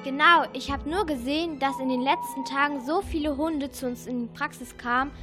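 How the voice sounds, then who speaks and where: An earnest girl, played by a female performer in a children's radio drama. She talks in a very high, indignant voice, and music plays clearly behind her. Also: brisk, sharp tone. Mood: indignant, defensive. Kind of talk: children's radio play acting.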